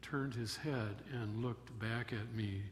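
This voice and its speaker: An older man giving a sermon from a church pulpit, telling a story in a measured way with emphatic rises. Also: medium pitch, fairly even intonation. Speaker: pastor. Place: church sanctuary at a wooden pulpit. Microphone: pulpit microphone. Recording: long church reverb, faint room noise.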